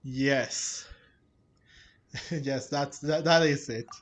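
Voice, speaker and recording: A man giving casual game commentary as he plays, rambling and halting as he talks. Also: mid-range voice, fairly even intonation. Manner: rambling, halting, frequent chuckles. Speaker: gaming streamer playing a story quest.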